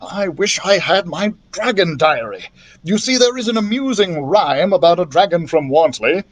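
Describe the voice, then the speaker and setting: A man voicing a commanding, excitable male doctor and adventurer in an emphatic, declamatory way. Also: high-pitched, normal speed. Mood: earnest, fretful.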